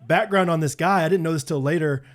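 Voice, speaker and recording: High-voiced man telling a story. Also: fast. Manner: animated storyteller, lots of "like" fillers. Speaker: podcast co-host. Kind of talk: casual podcast chat.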